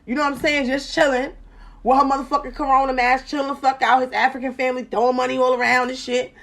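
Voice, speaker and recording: A woman hosting a casual podcast chat, animated and profane, her voice jumping loud and high when she gets excited. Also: fast talker. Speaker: podcast host.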